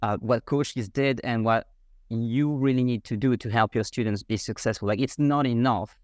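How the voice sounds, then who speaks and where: A man from France, a native French speaker with a French accent, explains his teaching method as an interview guest in a measured, methodical way. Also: mid-range voice, steady tone, no echo, clean studio sound. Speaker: guest, French learning coach.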